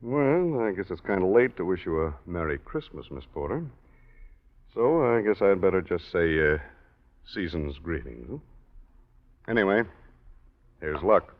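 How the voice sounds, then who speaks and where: Big American man, low voice, polite, probing, 1940s radio drama, muffled sound. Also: very animated, unhurried, pauses often. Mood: probing, measured. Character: tall, heavy-set, polite but probing American stranger.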